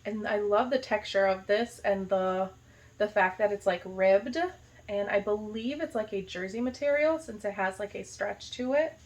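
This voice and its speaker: A young woman from the United States excitedly unboxing a gift box in a casual vlog, gushing and dropping lots of "like". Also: medium pitch, moderate pace. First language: not Arabic. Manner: gushing, enthusiastic, lots of "like" and "so cute". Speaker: vlogger, high school teacher.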